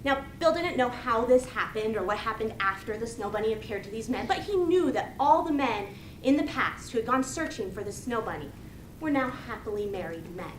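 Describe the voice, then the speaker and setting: A woman with a high voice tells a story as a rehearsed contest speech. Her delivery is theatrical, with deliberate pauses, and it is picked up from a distance in a very echoey room. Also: lively intonation. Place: indoor meeting room. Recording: echoey room, distant mic, faint noise.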